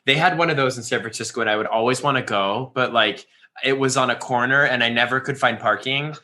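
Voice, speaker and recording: A man co-hosting a relaxed podcast. His voice is bright and lifted at a middling pitch. He chats playfully and rambles through little stories. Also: fast. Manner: playful, chatty storytelling. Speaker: podcast co-host. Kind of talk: casual podcast chat.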